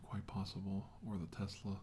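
A middle-aged man playing a weary, shaken doctor in a halting, brooding ASMR monologue that often drops toward a whisper. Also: deep voice, monotone, darkish tone, slight background noise, close to an everyday voice. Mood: grave, hesitant. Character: weary, shaken male doctor recounting a battle with aliens. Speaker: performer of ASMR roleplay. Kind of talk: slow ASMR roleplay monologue, soft and partly whispered.

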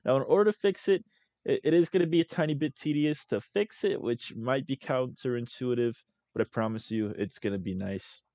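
A young man hosting a casual screen-recorded software tutorial as a voice-over. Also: mid-range voice, fairly quick, no echo. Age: young adult. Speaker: tutorial host.

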